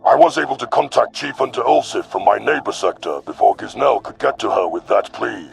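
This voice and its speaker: A man voicing an arrogant, contemptuous alien predator warlord in a sci-fi audio drama, with a gravelly growl and a boastful, sneering manner. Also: medium pitch, lively intonation, a little fast, soft background music, voice pushed down. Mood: scornful.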